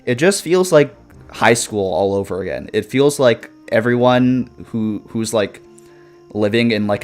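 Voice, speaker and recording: A young man in his twenties on a casual live stream, talking in a lowish voice as he rambles through viewer comments. He swears freely and fills his talk with "like" and quick laughs. Faint music plays underneath. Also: darkish tone. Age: 20s.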